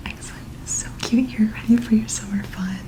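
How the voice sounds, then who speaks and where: A woman performing an ASMR personal-attention role-play, speaking in a gentle, soothing, attentive manner. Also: mid-range voice, fairly even intonation, moderate pace.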